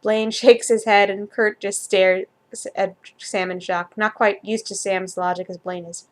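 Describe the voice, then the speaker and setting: A woman's voice: medium pitch, flat delivery, unhurried. A woman reading fan fiction aloud in an informal, amateur way, with a giggly, self-deprecating manner. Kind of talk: amateur story read-aloud and casual chat.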